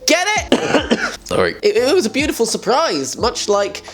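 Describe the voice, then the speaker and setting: A man's voice: extremely high pitch, wildly swooping pitch, unhurried, fairly bright tone, faint music behind. A young man who hosts a fan community show. He talks in a casual, self-mocking way, laughs often, and sounds a little nasal from a cold. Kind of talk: casual solo video chat.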